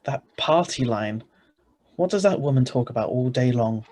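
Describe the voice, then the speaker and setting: A man plays an ordinary husband in a radio thriller, greedy and stubborn. His middle-pitched voice is husky, with a wry, bossy, conversational delivery. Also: animated, normal speed, darkish tone, natural voice. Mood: eager, stubborn. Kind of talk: radio thriller narration and dialogue.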